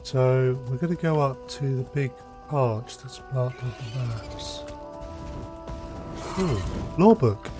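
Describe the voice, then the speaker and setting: A man's voice: medium pitch, takes it slowly. British man streaming a game over music. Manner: thinking aloud in short remarks. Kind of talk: casual live gameplay commentary.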